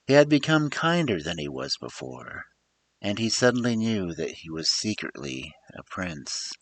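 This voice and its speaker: A man's voice: low-pitched, sing-song, measured pace. A man reading a story aloud as a storyteller, in a theatrical, emphatic storybook manner. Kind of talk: dramatic scripted story narration.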